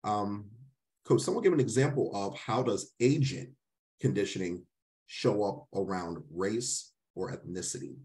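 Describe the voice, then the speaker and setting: Very deep-voiced man leading a workshop. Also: unhurried, darkish tone. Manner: affirming, checks in with "right?". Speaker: workshop facilitator. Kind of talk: interactive presentation to participants.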